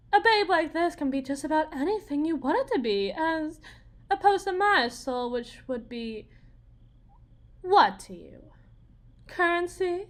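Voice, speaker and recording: A woman playing a desperate, cunning battered young mother bargaining in a dramatic audio roleplay, halting, wry and theatrical. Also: high-pitched, fairly bright tone, exaggerated voice. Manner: halting, wry, theatrical, with repeated words and pauses.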